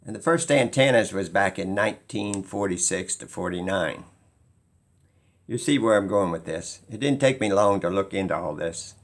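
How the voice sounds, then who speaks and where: A man talking informally and skeptically as he explains something, halting and pausing mid-sentence as he muses. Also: low voice. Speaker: video host.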